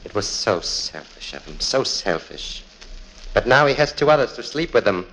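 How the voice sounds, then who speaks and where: An actor in a 1940s radio horror play, playing a deranged murderer who gloats with menacing, theatrical politeness. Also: male voice, fairly low pitch, big pitch swings, normal speed, fairly bright tone, slight background noise, poor audio. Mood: eerie, brooding. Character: deranged, gloating male murderer lurking in his brother's tomb. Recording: old 1940s radio recording.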